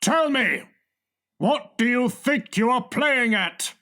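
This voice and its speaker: A blustering, self-important gang boss for a comic audiobook. He speaks in a raised, high register and spaces his words out with exasperated, pompous emphasis. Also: animated, slow pace, bright, forceful tone, exaggerated voice. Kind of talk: comic audiobook character reading.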